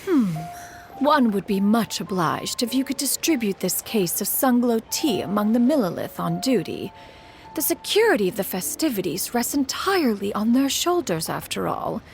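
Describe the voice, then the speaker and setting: A woman's voice: mid-range voice, sing-song, moderate pace, vocal fry, soft background music. A woman voicing a stately female crane spirit in a game, who speaks formally and calls herself "one". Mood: gracious. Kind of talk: game voice acting.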